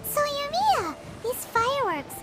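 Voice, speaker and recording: A woman voicing a tiny, excitable, childlike companion creature in a video game, squeaky and eager. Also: a little slow, heavily acted. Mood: curious. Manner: squeaky, eager, refers to self in third person. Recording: loud music behind the voice.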